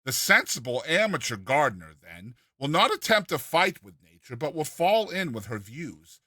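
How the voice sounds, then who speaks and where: Animated, bright-voiced male storyteller. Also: a little high, normal speed, dry recording, clean studio sound. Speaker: reader and narrator of a short story. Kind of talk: dramatized storytelling narration of a humorous classic essay.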